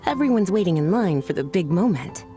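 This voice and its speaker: A woman playing a composed, caring adult woman in a video game, smooth and articulate. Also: fairly low pitch, expressive, a little fast, music in the background, close to an everyday voice.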